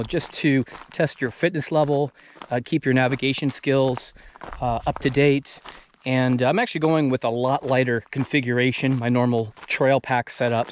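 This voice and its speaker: An American man in his thirties talking casually to camera on a hike, halting and thinking aloud as he moves. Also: mid-range voice. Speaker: vlogger. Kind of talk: casual talk to camera while hiking. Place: outdoors in open desert backcountry. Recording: outdoor noise on handheld camera.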